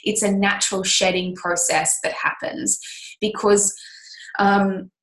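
A woman's voice: mid-range voice, steady tone, takes it slowly, roomy sound. A woman with a cold, her voice scratchy and nasal, giving earnest, reflective relationship advice to listeners. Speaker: coach answering listener questions. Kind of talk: casual advice talk.

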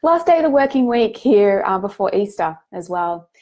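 A woman with a head cold, sounding a bit nasal, hosting a live group coaching call in a gentle, reassuring way with frequent ums and restarts. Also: medium pitch, sing-song, normal speed.

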